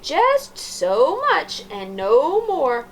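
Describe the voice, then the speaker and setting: A woman's voice: high-pitched, wildly swooping pitch, drawn-out words, slight background noise, voice pushed up, heavily acted, poor audio. A woman voicing a fussy, scolding man in a storybook, admonishing with emphasis but helpful. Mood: firm, admonishing. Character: fussy, scolding older pet-shop man who helps anyway. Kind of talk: storybook character voice.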